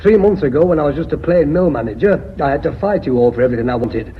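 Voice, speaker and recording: An actor playing a fretful, plain-spoken mill manager in a radio drama, blunt and uneasy. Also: male voice, a little high, lively intonation, fast talker, close to an everyday voice. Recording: dull, faintly noisy old radio recording.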